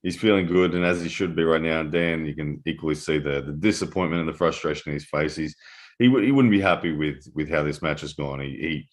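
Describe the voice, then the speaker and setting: A man commentating live on an arm-wrestling match, analytical and full of restarts, his voice going hoarse in the early morning. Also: extremely low pitch, brisk. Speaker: armwrestling commentator.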